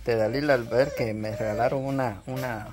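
A woman hosting a casual vlog on an outdoor patio, talking haltingly with lots of fillers. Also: very deep voice, fairly even intonation, a little mellow. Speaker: vlog host. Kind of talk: casual chat with viewers.

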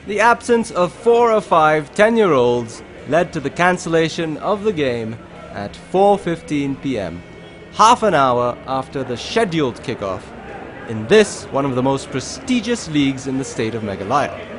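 A man presenting a sports highlights show in a scripted voice-over, with an emphatic, measured delivery. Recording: music under the voice. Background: background music.

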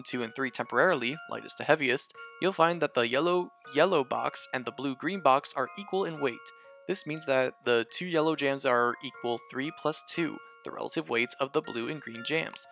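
An excitable man hosting a puzzle game walkthrough, reading the hints step by step and then gushing over the characters. His voice sits mid-range, with soft game music playing under the talk. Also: mid-range voice. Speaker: let's-play host.

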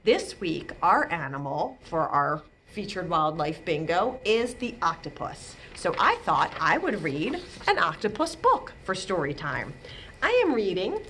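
A woman's voice: mid-range voice, a bit bright, slight background noise. A middle-aged woman hosting a library storytime for young children, speaking expressively and drawing out key words for emphasis. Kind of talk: read-aloud for young children. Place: small indoor library room.

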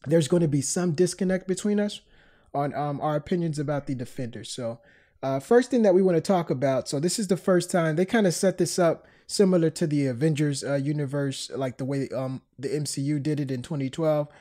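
A man's voice: fairly high pitch, brisk, dark tone. A man hosting a podcast in casual chat, a bit stuffed up from a cold. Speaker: podcast host.

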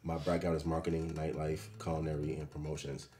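A man's voice: extremely low pitch, monotone, fast talker, crowd noise. A middle-aged man talking casually as an interview guest, often adding little check-in phrases as he goes. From Jamaica and the Bronx, New York. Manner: peppers talk with "you know what I'm saying". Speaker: guest, restaurant owner and chef. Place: small restaurant dining room, indoors.

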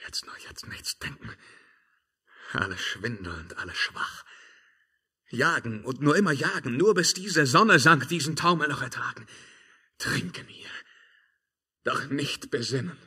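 An actor playing a tormented, obsessed emperor in 1950s German radio drama, husky and declamatory with intense delivery. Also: male voice, mid-range voice, expressive, measured pace, pauses often, sharp tone, heavily acted. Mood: agitated. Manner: declamatory, intense, swinging from cries to whispers. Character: tormented Byzantine emperor hunting, feverish, obsessed, desperate.

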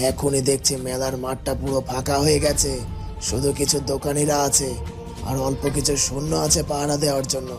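A man's voice: medium pitch, close to an everyday voice. A man playing a scheming, gloating villain in a melodramatic fairytale cartoon monologue. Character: scheming villain lurking, gloating over planned havoc.

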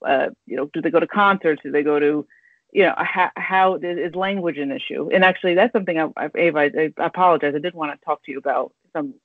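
Woman in her 30s, podcast host, rambling, halting, tinny phone-like sound, dry. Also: low-pitched, dry recording. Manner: rambling, halting, full of restarts and fillers. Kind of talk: casual interview chat. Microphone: video call. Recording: tinny dry video call audio.

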